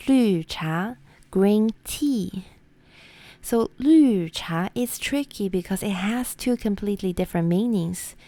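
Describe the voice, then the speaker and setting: A young woman from China with a Mandarin Chinese accent, a native Mandarin speaker, teaching Chinese words in a scripted lesson, slowly and deliberately repeating each word for learners. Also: mid-range voice, warm tone, no room sound. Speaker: language teacher.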